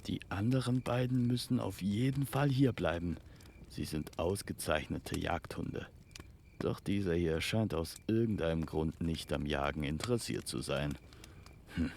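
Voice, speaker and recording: A man voicing a father who hunts, measured and dryly authoritative yet indulgent, in a storybook audio play. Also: deep voice, creaky, a little mellow, a little hiss or hum. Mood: reluctant, relenting. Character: gruff but indulgent father, a hunter.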